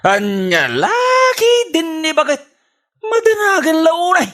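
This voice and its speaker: A man's voice: very high-pitched, huge pitch swings, slow, pushed and bright, heavily acted. A man with an Ilocano accent playing a boastful, childish, stubborn husband in a radio comedy drama, with cocky, exaggerated delivery. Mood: whiny, boastful. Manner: cocky, exaggerated, swooping delivery with mocking laughs.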